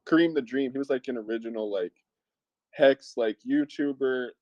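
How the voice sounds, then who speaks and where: A man's voice: mid-range voice, normal speed, darkish tone, dry recording, highs cut off. A young man in a casual voice chat, rambling and filling his speech with "like". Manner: rambling, heavy use of "like". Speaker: chat participant.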